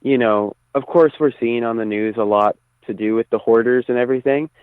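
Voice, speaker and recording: A man's voice: medium pitch, fairly quick, smooth, no echo. A man chatting as an interview guest over the phone, choosing his words thoughtfully. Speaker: musician guest. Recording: tinny narrow phone line.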